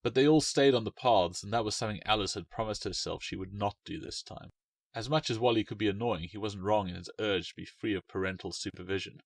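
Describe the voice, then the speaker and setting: A man reading his own short story aloud in a measured, expressive way. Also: fairly low pitch, no room sound. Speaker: author and narrator. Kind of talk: storybook narration.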